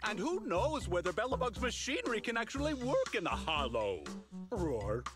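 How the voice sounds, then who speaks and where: Smug, sly cartoon lion commentator, showy and high-pitched, music underneath. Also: male voice, wildly swooping pitch, normal speed. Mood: opinionated, playful. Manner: theatrical, ends sentences with a roar. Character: sly theatrical lion mascot pundit, needling and smug. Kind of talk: cartoon game character acting. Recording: music behind.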